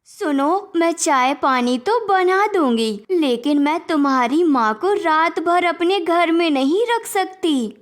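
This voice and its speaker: A woman voicing a scornful, sharp-tongued young vegetable wife in a cartoon moral story, scolding and sarcastic. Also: very high-pitched, slow, few pauses, pitched above the performer's own voice. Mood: defiant.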